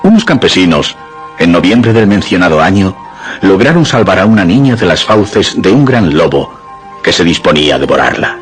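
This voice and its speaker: A man giving dramatic scripted narration for suspense radio theatre. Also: low voice. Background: music.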